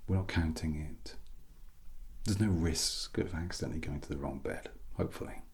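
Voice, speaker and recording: A British man softly giving an ASMR English lesson as a teacher, breathy and often near a whisper, with an awkward, self-deprecating, gently encouraging manner. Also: a bass voice, normal speed. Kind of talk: softly spoken ASMR grammar lesson roleplay.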